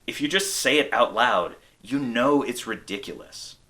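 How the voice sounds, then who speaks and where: A man's voice: medium pitch, lively intonation, moderate pace, pushed and bright. An American man hosting a video essay, reading scripted narration. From United States.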